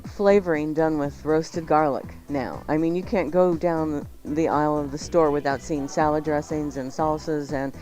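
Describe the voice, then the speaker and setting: A woman's voice: low voice, fairly even intonation, normal speed, few pauses, dark tone. A woman co-hosting a radio cooking show, chatting informally with playful banter. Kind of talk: casual radio chat. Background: music under the talk.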